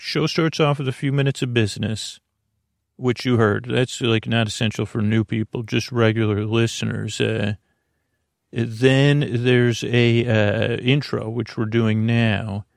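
A man talks in a low-key, meandering ramble, stopping and correcting himself as he drifts from point to point. His voice has a gravelly edge, and it sits close and dry with no room sound. It suits a bedtime podcast host who is in no hurry. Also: fairly even intonation, a little slow, no echo. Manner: meandering, full of hesitations and self-corrections.

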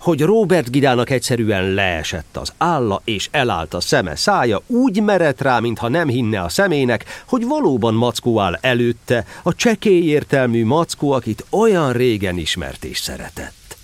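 A man narrating a scripted children's story in an expressive storytelling manner. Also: medium pitch, hardly any pauses, no room sound.

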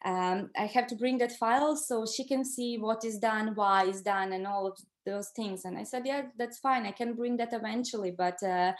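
A middle-aged woman with a Croatian accent and a mid-pitched voice. She speaks as a guest sharing her own experience in conversation. Her English is a second language, and she often halts to find the right word. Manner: halting, searching for words in second-language English. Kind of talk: conversational personal storytelling.

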